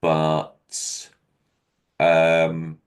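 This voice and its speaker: A man with a deep voice, a comedian guest talking candidly in an interview, hesitant with many pauses and restarts. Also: completely flat delivery, gravelly, clear, resonant tone. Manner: self-deprecating, hesitant with many ums and restarts.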